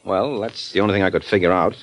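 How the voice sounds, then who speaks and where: A tough, sardonic private detective from an old radio drama. He talks in a slightly low voice, trading wisecracks and needling suspects with dry confidence. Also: steady tone, quick pace, low-quality recording. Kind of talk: 1940s radio drama acting.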